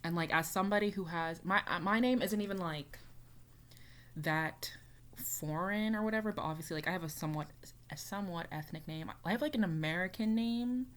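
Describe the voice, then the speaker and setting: A woman's voice: on the low side, brisk. A young woman chatting casually as she reacts to a music video, rambling with lots of fillers. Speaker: reaction YouTuber.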